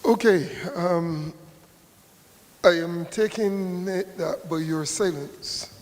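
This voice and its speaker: A man chairing a meeting, announcing the next question deliberately. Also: high-pitched, darkish tone. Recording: distant voice in a reverberant hall.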